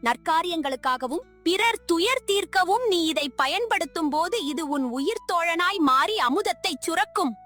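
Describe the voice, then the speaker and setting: A woman voicing a majestic, divine silver peacock spirit that speaks solemnly and formally in an animated moral tale. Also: extremely high pitch, normal speed, few pauses, faint music behind. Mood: grave, warning. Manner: solemn, formal, grand pronouncements. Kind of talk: animated moral story acting.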